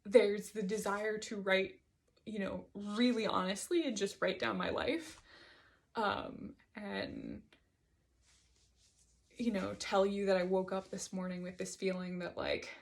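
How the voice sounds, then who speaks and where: A woman's voice: mid-range voice, fairly even intonation, unhurried, creaky. A woman giving a reflective solo monologue, hesitant and digressive as she thinks aloud about her writing. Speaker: host reading and discussing own writing.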